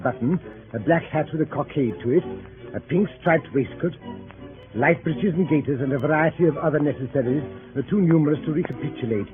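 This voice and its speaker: A man's voice: fairly high pitch, natural voice. A man narrating a story in 1930s radio drama style. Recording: tinny 1938 radio broadcast, music behind. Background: music.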